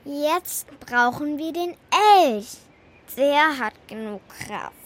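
A woman's voice: sits high, slow. A young woman playing a shaken but brave little girl in a children's radio play, speaking hesitantly and simply. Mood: worried.

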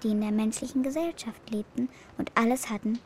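An actress playing an earnest, brave young granddaughter in the steppe, in a German children's radio drama. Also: female voice, on the high side, a little fast, slight background noise, natural voice. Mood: reading, reflective.

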